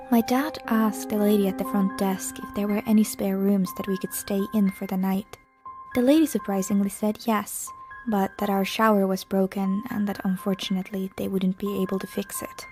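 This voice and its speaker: A woman reading a scripted scary story in the first person, as a narrator. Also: mid-range voice. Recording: loud background music. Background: music.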